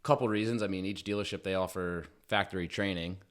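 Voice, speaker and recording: A man's voice: sits low, steady tone, quick pace. A man in his twenties from Rhode Island, chatting casually as a guest in a laid-back way. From Rhode Island, USA. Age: 20s. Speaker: guest, auto shop owner and mechanic. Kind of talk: casual chat.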